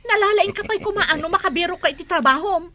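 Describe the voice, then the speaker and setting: A woman's voice: very high-pitched, fast, pushed and bright, slight background noise. A woman acting in a radio drama as a fed-up wife scolding her drunkard husband, nagging and sarcastic. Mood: scornful, scolding.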